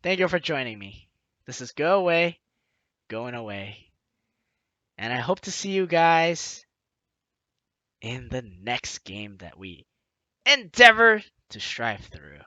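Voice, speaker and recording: A gaming video host doing expressive, effusive, exclamatory let's play commentary over game music. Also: medium pitch, slow, pauses often, no room sound, highs cut off. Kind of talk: let's play commentary, also reading on-screen text aloud.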